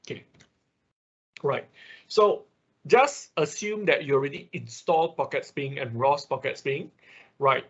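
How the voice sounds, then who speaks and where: A man who is a non-native English speaker with an accent, teaching an informal online technical class in a husky voice, explaining step by step with frequent check words. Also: medium pitch, a bit bright. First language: not English. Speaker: instructor. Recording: dull, lo-fi online class audio.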